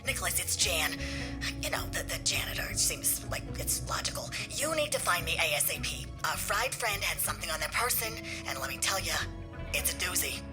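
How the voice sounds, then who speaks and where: An actress playing a wry, gruff janitor with aches, blunt, folksy and conspiratorial, in an audio drama. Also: female voice, low voice, big pitch swings, a little slow, music in the background.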